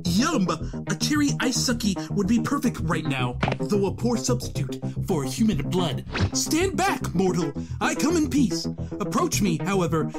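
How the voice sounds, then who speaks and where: Man doing a gloomy young cartoonist, sardonic, lively pitch, mock-dramatic, mid voice. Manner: sardonic, theatrical mock-grandeur. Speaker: narrator and lead. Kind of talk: cartoon narration and acting.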